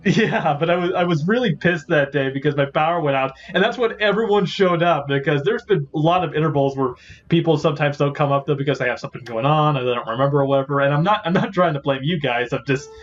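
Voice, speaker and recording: A man's voice: fairly high pitch, fast talker. A man giving casual, rambling gameplay commentary as host of a gaming series. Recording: dull lo-fi mic, faint music behind.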